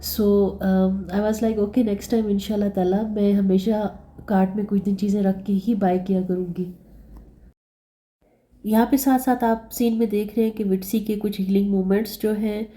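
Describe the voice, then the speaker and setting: A woman vlogging in a chatty way, hoarse from a sore throat, repeating words and slipping English phrases into her talk. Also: mid-range voice, steady tone, moderate pace, warm tone. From Pakistan. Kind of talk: casual vlog narration.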